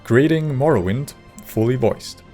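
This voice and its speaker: A man giving scripted video narration in a measured way with dry, wry humour. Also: medium pitch, lively intonation, mellow. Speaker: video creator and modder. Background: faint music.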